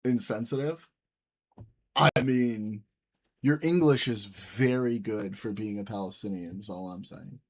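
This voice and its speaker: A man's voice: on the low side, a little slow, slight background noise. Casual, profane young male streamer. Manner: loose, profane banter with viewers. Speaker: livestream host reading chat. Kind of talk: casual live-stream chat.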